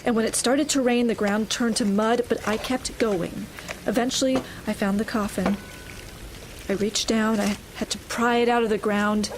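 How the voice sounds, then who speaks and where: An actress playing a distressed, stubborn female employee, grieving and trapped, with a blunt, intense manner in a dark comedy audio drama. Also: on the high side, a little hiss or hum, close to an everyday voice.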